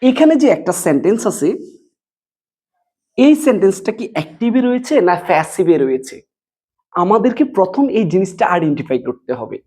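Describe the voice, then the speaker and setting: Husky, animated woman teaching a lesson. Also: mid-range voice, moderate pace. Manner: repetitive, question-and-answer explaining. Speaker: English grammar teacher. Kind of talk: board lesson addressed to students.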